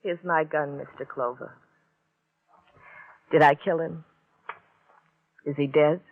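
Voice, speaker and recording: A woman's voice: deep voice, fairly even intonation, slow pace, full character voice. An actress playing a distraught, bitter woman in a 1950s radio crime drama, melodramatic and repeating her phrases. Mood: drained, resigned. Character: distraught woman confronting her hired accomplice, overwrought, bitter. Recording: tinny, muffled vintage radio recording.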